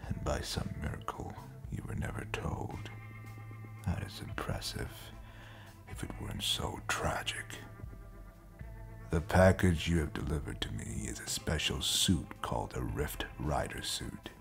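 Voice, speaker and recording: Cold space pirate captain dad, super deep n slow, gravelly w whispery drops, menacing, music under it, audio drama. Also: male voice, expressive, fairly bright tone, full character voice. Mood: menacing, superior. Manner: slow, measured, detached, menacing. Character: cold brooding space pirate captain, a condescending father with powers. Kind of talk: scripted audio roleplay drama.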